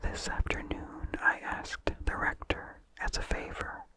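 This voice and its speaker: Slow, fully whispered ASMR storyteller. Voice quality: whispered, unvoiced. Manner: slow, deliberate, pausing between short phrases. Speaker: narrator. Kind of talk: whispered ASMR reading of vintage horror story.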